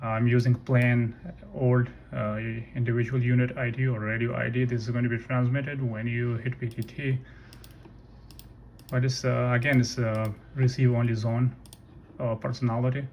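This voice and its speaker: A man giving a casual, unscripted software walkthrough in a halting way, thinking aloud and correcting himself. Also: mid-range voice, flat delivery. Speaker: tutorial presenter. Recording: noisy room with keyboard typing. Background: computer keyboard clicks, ambient noise.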